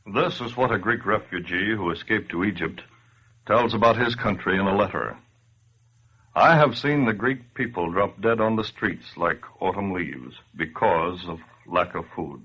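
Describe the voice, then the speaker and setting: Dull old broadcast: grave wartime witness, husky, deliberate, mid-pitched. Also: male voice. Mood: somber. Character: somber man reading a starving refugee's plea. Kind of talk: 1940s dramatic radio reading. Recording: muffled old radio recording.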